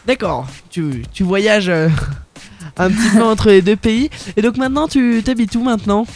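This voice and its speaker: A man's voice: high voice, normal speed, slight background noise. A young man hosting a radio interview, talking casually with many fillers and restarts. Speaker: radio host.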